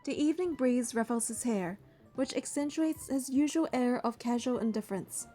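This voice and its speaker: A woman with a fairly high voice reads the story of a video game aloud. She moves between narration and casual commentary, with light music playing behind her. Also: moderate pace, dark tone. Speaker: game playthrough creator reading story text aloud.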